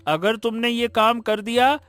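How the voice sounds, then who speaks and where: A coaxing cartoon parrot voiced by a woman speaks very slowly and invitingly. The sound is dry and close, with music playing behind it. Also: on the high side, fairly bright tone, no echo. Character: coaxing talking parrot, male, guiding a traveller. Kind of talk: cartoon moral story acting.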